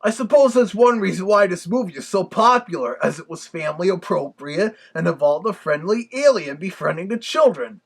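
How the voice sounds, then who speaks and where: A husky cartoon wolf villain, acted out by a man turned casual reviewer. The voice is gravelly and swings widely in pitch, with a chatty, sentimental way of talking. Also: animated, brisk, hardly any pauses, full character voice. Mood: chatty, amused. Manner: conversational, brief sympathetic interjections. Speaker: reviewer, host. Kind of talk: casual review with reactions to a played record.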